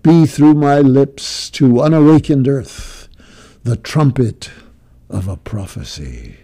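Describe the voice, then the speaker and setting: A man giving a slow, grave, declamatory reading of a poem, swelling and then dropping to a hush. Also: medium pitch, expressive, creaky. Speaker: poetry reader. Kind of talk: dramatic poetry recitation.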